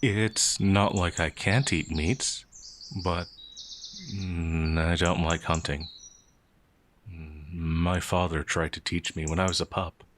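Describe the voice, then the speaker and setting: A man's voice: low-pitched, fairly even intonation, takes it slowly, fairly bright tone, slight background noise. A man playing a timid, innocent werewolf farmhand in an audio roleplay, speaking in a hesitant, stammering, naively earnest way. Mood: shy, fond. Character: timid, gentle, innocent male werewolf farmhand, older than he appears. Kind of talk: audio roleplay character acting.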